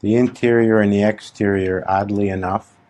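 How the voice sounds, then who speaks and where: A man leading a guided meditation as a spiritual teacher, in short, gently instructive phrases with long, unhurried pauses between them. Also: on the low side, fairly even intonation.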